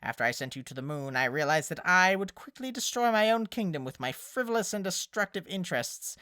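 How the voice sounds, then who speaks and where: A woman's voice: lowish voice, lively intonation, fairly quick, fairly bright tone, no room sound, studio quality. A woman voicing a frank, wry older-sister pony, a former ruler, in a cartoon parody. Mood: confessional.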